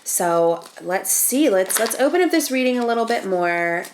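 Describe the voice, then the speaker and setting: A woman's voice: medium pitch, lively intonation, normal speed, a little hiss or hum. A woman hosting a casual tarot reading, nasal and sniffly from a cold, chatty and full of ums and restarts as she talks through each card. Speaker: tarot reader and channel host.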